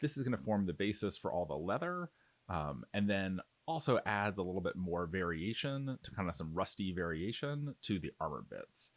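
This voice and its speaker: A man's voice: sits low, big pitch swings, normal speed, no echo. A man giving a casual, explanatory step-by-step tutorial voice-over in an informal way. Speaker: miniature painting tutorial host.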